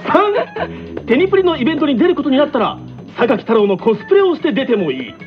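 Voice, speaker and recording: High-voiced lively man hosting radio. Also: brisk. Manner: lively, laughing often. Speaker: radio show host. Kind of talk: casual radio talk with quiz questions. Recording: dull lo-fi radio recording with music behind.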